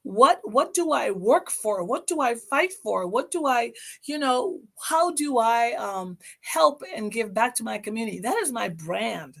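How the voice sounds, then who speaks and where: A middle-aged woman with a hoarse voice hosting her own motivational podcast, speaking encouragingly and directly to her listeners. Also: on the high side, lively intonation, measured pace, fairly bright tone. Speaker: podcast host.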